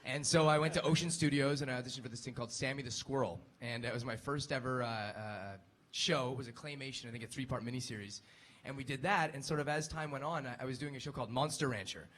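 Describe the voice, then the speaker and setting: A man with a medium-pitched voice tells stories on a convention panel at a brisk clip. He sounds chatty and upbeat, and his words tumble out fast with a faint hum of room ambience behind him. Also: a bit bright. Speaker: voice actor panelist.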